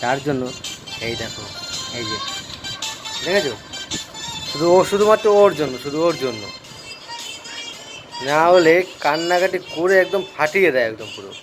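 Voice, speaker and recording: A man's voice: fairly high pitch, lively intonation, normal speed, pauses often, music in the background, low-quality recording. A man talking casually to viewers in a home vlog, often repeating short phrases. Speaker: family vlogger. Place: at home with a small child.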